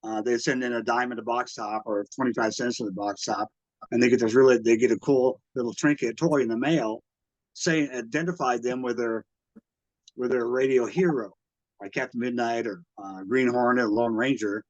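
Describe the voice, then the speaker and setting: A man's voice: medium pitch, fairly even intonation, darkish tone, no echo. Interviewee, male, 60s, American. Hesitant, conversational collector looking back. Dry, slightly lo-fi sound. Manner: halting, hesitant, backtracks often. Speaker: radio collector and museum exhibitor, interviewee. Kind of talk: casual reminiscing interview.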